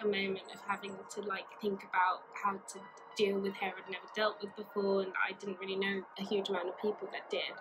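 Twenties, soft and reflective, casual fillers, music bed under a phone recording. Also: female voice, medium pitch, poor audio. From Norway. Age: 20s. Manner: soft-spoken, thoughtful, many fillers like "like" and "you know". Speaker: artist. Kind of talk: reflective personal testimony to camera. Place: bright sunlit room, seated. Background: background music.

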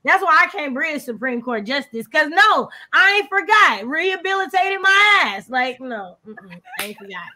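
A woman's voice: high voice, very animated, moderate pace, few pauses, pushed and bright, a little hiss or hum. A young American woman in a casual book discussion, speaking emphatically and with opinion, repeating her points for effect. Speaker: readalong co-host. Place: room at home, video call.

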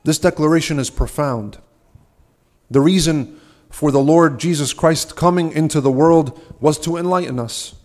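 A young man, a subdeacon, giving an earnest, measured sermon at a church lectern, asking rhetorical questions. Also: a little high, a little mellow. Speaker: subdeacon preaching. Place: large ornate church, at a lectern. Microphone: lectern microphone.

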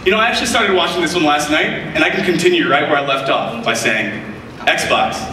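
A man's voice: a little high, lively intonation, a little fast, recorded from a distance, a crowd in the background. A young man giving an upbeat, scripted product demonstration on a large keynote stage. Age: young adult. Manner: upbeat, enthusiastic presenter. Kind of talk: scripted live product demo. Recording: echoey hall audio picked up from afar.

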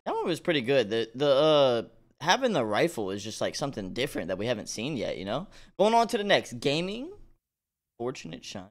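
A man's voice: mid-range voice, wildly swooping pitch, fairly quick. A man giving casual, slangy reaction commentary with informal exclamations. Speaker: reaction video host. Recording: game music playing underneath.

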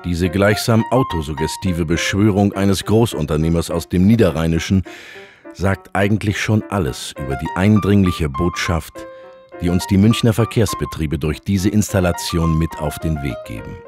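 A solemn, pompous art critic narrates a radio parody. The voice is very low and delivers florid lines with great gravity, over clear music. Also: male voice, lively intonation, normal speed. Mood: solemn, grandiose.

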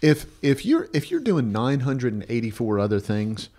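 A man's voice: medium pitch, huge pitch swings, moderate pace, warm tone. A man in his fifties co-hosting an advice podcast, talking bluntly and emphatically, repeating phrases and checking in with "right?". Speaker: podcast co-host. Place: small indoor podcast studio. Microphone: close podcast mic on stand.

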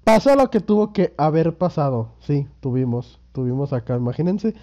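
A young Mexican man with a fairly high voice talks through a game while sick with a cold, loose and rambling, full of crude slang and jokes at his own expense. The sound is dull and lo-fi. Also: expressive, normal speed, dark tone. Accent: Mexican Spanish. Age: 20s. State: sick with a cold and sore throat. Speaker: gaming youtuber. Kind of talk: casual gameplay commentary.

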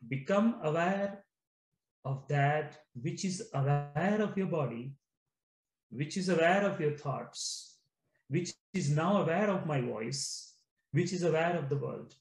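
Male meditation teacher, slightly high voice, very unhurried, deliberate phrasing with pauses, echoey room sound. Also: lively intonation, creaky. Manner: measured, repetitive phrasing with frequent pauses. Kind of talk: guided meditation, slow deliberate instruction.

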